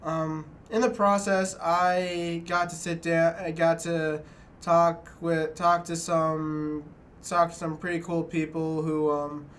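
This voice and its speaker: A young man, a student, talking to the camera in a small room about his documentary, halting and restarting often. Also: fairly high pitch, flat delivery, a bit bright, slight background noise. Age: young adult. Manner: halting, many restarts and fillers. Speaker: student filmmaker. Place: small dorm room indoors.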